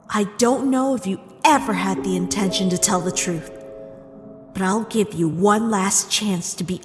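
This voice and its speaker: A woman plays an indignant young princess in a comic drama, wounded and accusing. She speaks slowly and emphatically, drawing out her words, with long reverb and music behind her. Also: medium pitch, close to an everyday voice. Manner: accusing, emphatic, drawn-out delivery. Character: betrayed, indignant young princess confronting a friend. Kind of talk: dramatic comic dub acting.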